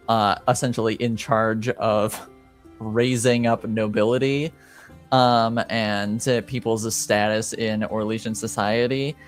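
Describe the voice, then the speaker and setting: Slow, halting man running a tabletop game. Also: medium pitch. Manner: halting, deliberate, pauses mid-sentence. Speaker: game master of a tabletop roleplaying game. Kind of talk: improvised tabletop game narration and casual chat.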